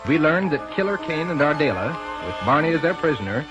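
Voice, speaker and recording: A man's voice: fairly high pitch, fairly quick. A man performing as an eager, theatrical announcer of a 1930s children's adventure serial on radio. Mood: excited, dramatic. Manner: theatrical, excited storytelling. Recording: dull 1930s radio recording, music.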